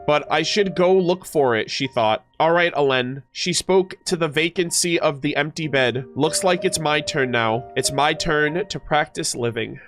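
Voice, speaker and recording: This is a man with a fairly high voice that sounds stuffed up from a cold. He streams casually, moving between reading aloud and heartfelt, emotional commentary. Instrumental music plays behind him. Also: measured pace, few pauses, a bit bright. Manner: casual, earnest, swearing. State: congested from a cold. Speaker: streamer reacting to and reading out game lore.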